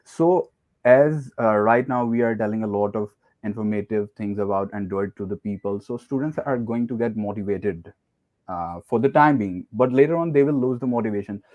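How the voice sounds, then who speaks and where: A man's voice: lowish voice, moderate pace, darkish tone, no echo. A man with a Pakistani English accent hosting an online tech event on a video call, formal and polite. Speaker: event host. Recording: dull lo-fi sound.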